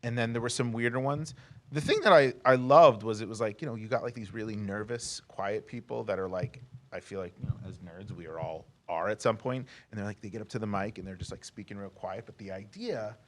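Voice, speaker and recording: A man's voice: on the low side, a little fast. A man speaking as a comics company rep in a casual interview, joking and slangy, peppering his talk with "like". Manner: slangy, joking, heavy use of "like". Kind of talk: casual, animated interview chat.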